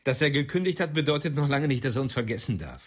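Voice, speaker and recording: An actor playing a brash middle-aged bar owner who speaks bluntly and agitatedly. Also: male voice, medium pitch, animated, fast, lightly acted.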